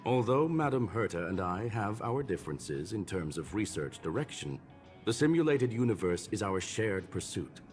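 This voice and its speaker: Urbane game scholar, low voice, lively intonation, formal, courteous, calculating. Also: male voice, faint music behind. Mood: courteous, calm. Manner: formal, measured, polite. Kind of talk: video game voice acting.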